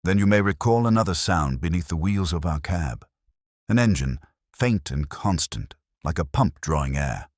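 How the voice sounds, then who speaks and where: A man voicing a grave, incisive gentleman detective in an audiobook, deliberate and commanding. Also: low voice, expressive, dry recording, studio quality. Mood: grave, cryptic. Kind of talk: audiobook character acting.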